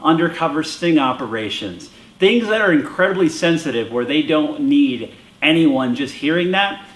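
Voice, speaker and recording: A man's voice: on the high side, a bit bright. An American man presenting explanatory opinion commentary in an emphatic, conversational way. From United States. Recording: roomy echo.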